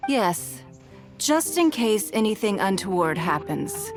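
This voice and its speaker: An actress playing a poised, wealthy and shrewd businesswoman in a video game, speaking in a composed, formal, measured way. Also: medium pitch, big pitch swings, music in the background. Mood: assured, businesslike. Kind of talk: voiced video game dialogue.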